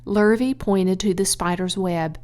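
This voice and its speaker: Unhurried woman reading a children's story. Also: mid-range voice, a little mellow. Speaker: audiobook reader. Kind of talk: expressive children's story narration.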